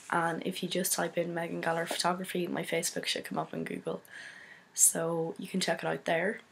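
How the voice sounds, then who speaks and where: A young woman from Donegal with an Irish accent, hoarse from a cold, chatting casually to her laptop webcam in a small bedroom and rambling with many fillers. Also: lowish voice, a little hiss or hum. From Donegal, Ireland. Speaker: photographer vlogger. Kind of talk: casual chat to camera.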